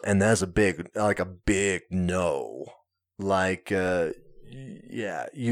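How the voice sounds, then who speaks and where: A man with a lowish voice chats on a podcast at an unhurried, slow pace. He hesitates often, filling gaps and restarting sentences. There is a wry, self-mocking humor under it. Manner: hesitant, many fillers and restarts. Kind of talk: casual conversation.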